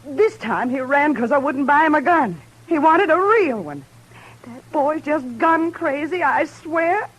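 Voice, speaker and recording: A woman's voice: high-pitched, lively intonation, a little slow, low-quality recording. An actress playing a weary, aggrieved frontier mother in a 1950s radio western, talking in a plaintive, folksy way. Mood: exasperated. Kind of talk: 1950s radio drama acting. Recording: hissy old 1950s radio recording.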